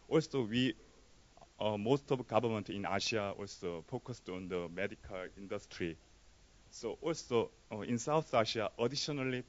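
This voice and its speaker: A young man from South Korea, a student with a Korean accent, asking a polite, halting question from the audience. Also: on the low side. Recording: dull lo-fi conference recording.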